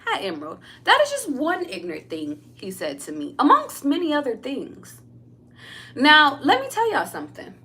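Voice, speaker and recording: A woman's voice: sits high, wildly swooping pitch, normal speed, pushed and bright. A woman, a tarot reader, telling a personal story to her viewers in a blunt, candid way, swearing freely and talking straight to the audience.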